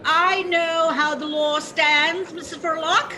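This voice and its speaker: Demanding female complainer, radio drama, piercingly high, sharp, slow emphatic delivery. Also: fairly even intonation, exaggerated voice, poor audio. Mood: indignant. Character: shrill, demanding, indignant cinema patron. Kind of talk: old-time radio play acting.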